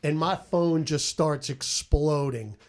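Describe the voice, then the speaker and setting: A man's voice: on the high side, takes it slowly. An American man, a sports broadcaster, telling stories on a podcast roundtable. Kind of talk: animated storytelling on a podcast roundtable.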